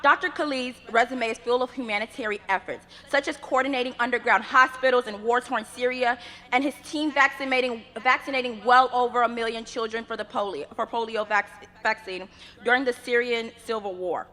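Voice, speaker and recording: A woman's voice: a little high, steady tone, bright, forceful tone. Earnest young woman speaking in a reverberant hall. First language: Yoruba. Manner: earnest, measured oratory with rhythmic lists. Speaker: graduate student speaker. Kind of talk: commencement address. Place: graduation ceremony venue. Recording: long reverb of a large ceremony space.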